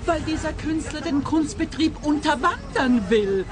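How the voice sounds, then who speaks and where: An actress playing a haughty, gushing art expert in a radio play, pompous and condescending. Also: female voice, high voice, lively intonation, measured pace. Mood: fervent.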